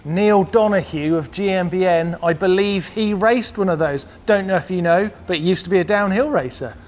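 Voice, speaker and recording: A man's voice: sits high, moderate pace. A British man hosting a factory tour, joking and teasing as he chats with a guide. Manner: jokey, teasing, laughs often. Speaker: host. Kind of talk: casual factory tour chat. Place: warehouse and outdoors at a factory.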